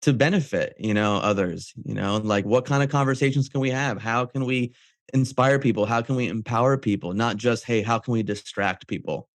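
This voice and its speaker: A man's voice: mid-range voice, a little fast, dry recording. A middle-aged man co-hosting a podcast, talking in a casual, encouraging, motivational way with slangy address. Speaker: podcast co-host.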